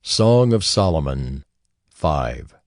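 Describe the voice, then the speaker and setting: A man's voice: very deep voice, very animated, slow, no echo, clean studio sound. A man announcing chapter titles as a formal scripted narrator.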